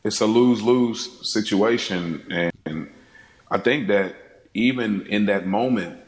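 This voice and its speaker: A man's voice: fairly low pitch, unhurried. A man speaking as a podcast guest in an informal, rambling film discussion, recorded with a roomy echo. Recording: roomy, echoing sound.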